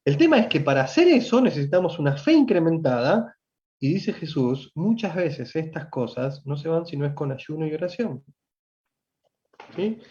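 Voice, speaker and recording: A man's voice: on the high side, sing-song, moderate pace, darkish tone, lo-fi sound. A man in his forties with a Rioplatense Spanish accent, teaching from the Gospel in a livestreamed sermon, speaking conversationally and addressing listeners directly. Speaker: preacher.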